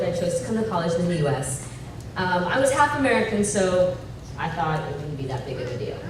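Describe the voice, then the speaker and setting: Woman from Tanzania telling her story in an echoey hall. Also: medium pitch. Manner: animated and joking, then earnest and reflective. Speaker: speaker at a fundraising brunch. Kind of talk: personal story told onstage. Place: event hall at a brunch. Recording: echoey hall, far mic, ambient noise.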